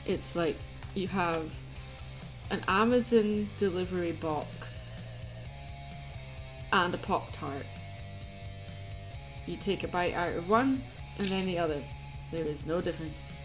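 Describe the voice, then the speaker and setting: Low-pitched woman chatting casually over music. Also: slow, long pauses, poor audio. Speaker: livestream host and artist taking commissions. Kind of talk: casual livestream chat. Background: guitar music playlist.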